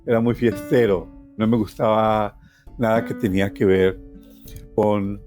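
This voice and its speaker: A man's voice: lowish voice, steady tone, measured pace, darkish tone. A man in his sixties with a Colombian accent tells a personal story conversationally, repeating phrases for emphasis and tagging them with questions. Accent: Colombian Spanish. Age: 60s. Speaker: storytelling guest journalist. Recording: music behind the voice. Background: plucked guitar music.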